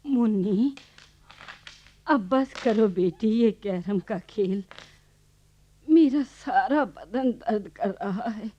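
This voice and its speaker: Casting: good-natured elderly rural grandma, radio play; slow, homely delivery, muffled lo-fi recording. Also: female voice, mid-range voice, mellow. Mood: affectionate, weary. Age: older. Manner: homely, affectionate, mildly protesting. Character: simple, good-natured village grandmother devoted to card games. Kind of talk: Hindi radio play acting. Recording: dull lo-fi archival radio recording.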